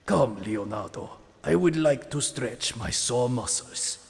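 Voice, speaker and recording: Man playing a slow, solemn old rat sensei. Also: mid-range voice, lively intonation, creaky, slight background noise. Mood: calm, fatherly. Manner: formal, measured, grave. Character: aged solemn rat sensei, stern loving father. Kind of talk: dramatic audio drama acting. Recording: long reverberant hall echo.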